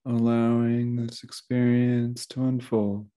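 Slow, mellow man guiding meditation. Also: medium pitch, flat delivery. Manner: gentle, unhurried, with long pauses. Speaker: meditation teacher. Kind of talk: guided meditation.

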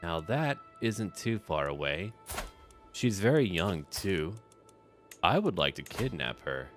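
A man's voice: low-pitched, very animated, takes it slowly. A man giving casual, lightly roleplayed gameplay commentary, musing aloud in short clipped remarks. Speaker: host of a gameplay series. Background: background music.

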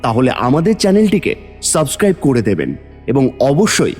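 A man of medium pitch narrates a scripted story in a lively, expressive way. His voice carries a long reverb, with faint music underneath. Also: normal speed, slightly rough.

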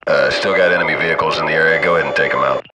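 Deep-voiced male gunship crewman for shooter game: casual, cocky, slangy radio chatter, very loud cabin noise. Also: fairly even intonation, racing, distant mic. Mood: cool, focused. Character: laid-back, cocky adult male military gunship crewman.

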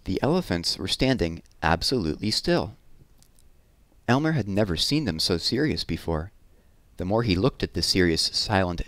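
Low-pitched man reading a picture book expressively. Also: no room sound. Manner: expressive, with deliberate pauses and drawn-out words. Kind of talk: children's read-aloud narration. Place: small room at home, indoors. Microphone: headset.

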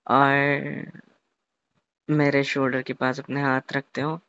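A man's voice: mid-range voice, little pitch movement, pushed and bright, smooth, dry recording. Young man murmuring romantically, muffled call recording. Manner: halting, with repeated words and English mixed in. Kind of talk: intimate casual phone chat, romantic storytelling.

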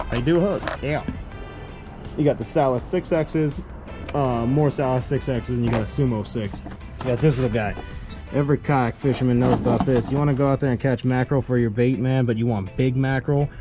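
Laid-back man over music. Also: mid-range voice. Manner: laid-back, joking, loud and animated. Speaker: fishing vlogger. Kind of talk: casual show-and-tell vlog. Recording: music playing under voice. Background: background music.

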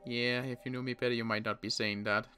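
A young man playing a weary survivor in a Minecraft roleplay, talking in halting bursts with sighs and restarts. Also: medium pitch, level tone, bright, forceful tone, soft background music. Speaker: roleplay player and channel host.

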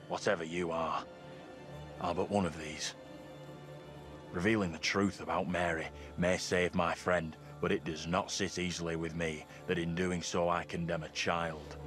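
A young villager of colonial times, devout and torn, in a video game drama. His voice sits low and his speech is formal and archaic, over clear background music.

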